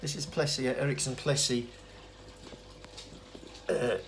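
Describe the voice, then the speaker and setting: Male, average pitch, unscripted hobbyist demonstrator, halting and self-correcting, noisy amateur camera sound. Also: steady tone. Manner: hesitant, rambling, self-interrupting explanation. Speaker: hobbyist demonstrator of vintage telephone equipment.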